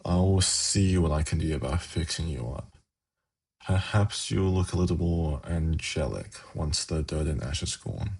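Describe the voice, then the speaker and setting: A man playing a suave, haughty demon prince in an ASMR fantasy roleplay, speaking in a measured, formal, silkily condescending way with a gravelly, husky voice. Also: a bass voice, a little mellow, heavily acted. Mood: soft, coaxing.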